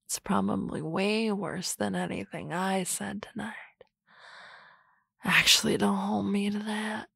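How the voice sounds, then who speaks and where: A drunk young boss, flustered and rambling, drifting between slow talk and soft whispers in a gravelly, unhurried voice. A woman acts her out close to a dry microphone. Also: medium pitch, dry recording, exaggerated voice. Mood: tipsy. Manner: slurry, rambling, often whispering. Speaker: voice actress. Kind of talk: ASMR roleplay.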